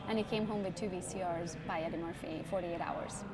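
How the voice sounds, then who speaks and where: A woman's voice: on the low side, steady tone, brisk, crowd noise, poor audio. A woman in her forties from Transylvania, Romania, a podcast guest telling stories in a chatty way and often tagging on "right?". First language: Hungarian. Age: 40s. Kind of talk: casual interview chat. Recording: loud background noise.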